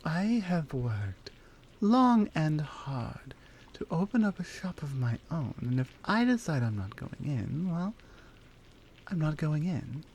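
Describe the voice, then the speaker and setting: Slow, mellow flirty lioness played by a woman. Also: deep voice, big pitch swings, exaggerated voice. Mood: flirty, relaxed. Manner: breathy, flirtatious, unhurried, drifting into whispers. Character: flirty, confident lioness woman, strong and teasing shopkeeper. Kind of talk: intimate ASMR roleplay audio.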